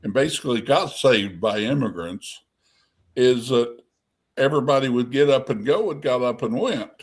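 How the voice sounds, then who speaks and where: A man's voice: mid-range voice, animated, moderate pace, breathy edge. A man speaking as a guest on a podcast panel, rambling in a loose conversational way. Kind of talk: casual panel chat.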